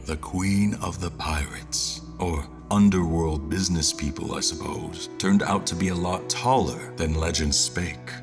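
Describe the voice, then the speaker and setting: A man plays a wry adventurer recounting his memories in a fantasy audio drama. His voice is low, his telling ornate and literary. Music runs clearly behind him. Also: unhurried, few pauses. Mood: admiring. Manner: ornate, descriptive storytelling. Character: male crew member narrating his memories, literary and wry.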